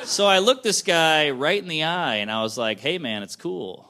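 Guy doing standup on stage, bouncy animated voice, lots of noise behind. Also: on the high side, moderate pace. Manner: lively, self-mocking delivery with laughs. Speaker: stand-up comedian. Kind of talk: stand-up set. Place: comedy club stage before laughing audience. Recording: loud crowd laughter and noise.

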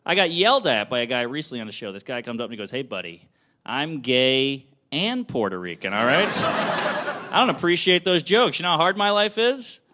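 A man doing a stand-up set on a club stage, with a lively, self-mocking delivery. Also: medium pitch, normal speed. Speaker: stand-up comedian. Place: comedy club stage before laughing audience. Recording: loud crowd laughter and noise.

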